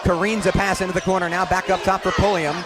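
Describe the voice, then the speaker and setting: A young man doing animated live radio basketball play-by-play, vividly calling the action and raising his voice on big plays. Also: high voice, quick pace. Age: young adult. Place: courtside in a noisy basketball arena. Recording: loud arena crowd and bouncing ball. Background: crowd noise, basketball bounces.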